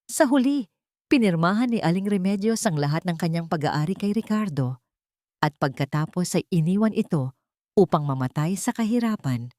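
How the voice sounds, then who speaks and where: A woman's voice: on the low side, expressive, normal speed, dark tone, dry recording, clean studio sound. A woman with a Filipino accent reading a scripted dramatic story as its narrator.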